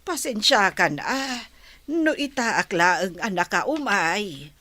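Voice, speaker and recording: A woman's voice: mid-range voice, expressive, slow, sharp tone, no room sound. A woman playing a worried, apologetic elderly grandmother in a radio drama.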